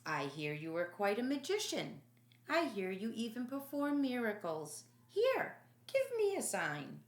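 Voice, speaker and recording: A scornful, imperious ancient ruler from a storybook, acted by a woman. He is jaded and taunting, with animated pitch and an echoing room around the voice. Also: measured pace.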